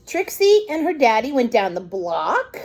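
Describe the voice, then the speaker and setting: A woman hosting storytime, reading a picture book aloud to young children in an expressive way with conversational asides. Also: on the high side, a little slow, a little hiss or hum.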